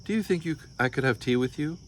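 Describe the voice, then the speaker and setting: A man's voice: on the high side, lively intonation, fast, slight background noise, pitched above the performer's own voice, close to an everyday voice. Man reading as a polite hungry tiger. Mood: politely hungry. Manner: courteous, mannerly requests.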